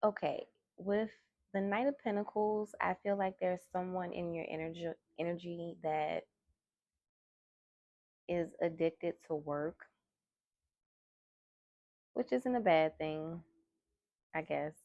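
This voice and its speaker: Woman tarot reader, soft and low, slow gravelly delivery, dry close sound. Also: fairly even intonation, dry recording, highs cut off. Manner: soft-spoken, slow and musing. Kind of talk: soft-spoken tarot reading. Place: indoors at a table.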